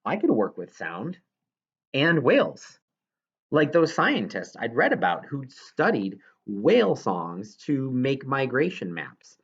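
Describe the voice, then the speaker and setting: A man's voice: medium pitch, huge pitch swings, slow, breathy edge, muffled recording. A man reading a children's novel aloud as scripted narration, now and then stumbling over a word. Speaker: audiobook reader.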